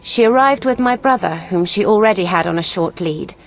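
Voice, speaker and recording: A woman playing a proud, vengeful young noblewoman in a fantasy video game, speaking earnestly and deliberately. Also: fairly low pitch, slight background noise, close to an everyday voice. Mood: bitter, reminiscing. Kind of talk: video game dialogue acting.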